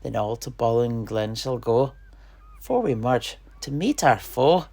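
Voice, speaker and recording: A man playing a solemn noble conspirator, declaiming rhyming mock-Shakespearean verse with deliberate emphasis. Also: medium pitch, animated, gravelly, darkish tone, slightly rough, heavily acted. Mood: resolute.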